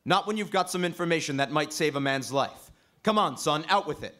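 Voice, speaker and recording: An actor playing a composed, persistent gentleman sleuth in an old-time radio mystery. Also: fairly high pitch, expressive, brisk. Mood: pressing. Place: live stage performance at a convention.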